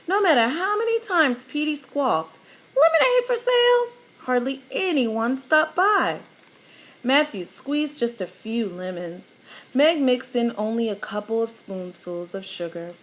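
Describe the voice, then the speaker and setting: A woman reading a children's picture book aloud, animated and teacherly, pausing to explain the pictures. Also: on the high side, rough recording.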